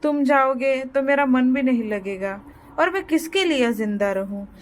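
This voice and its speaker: An elderly village grandfather acted out by a woman in a cartoon moral tale, pitched high. He worries, laments and pleads, saying things over again. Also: unhurried. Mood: pleading. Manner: lamenting, pleading, repeats himself. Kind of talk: moral story cartoon dialogue.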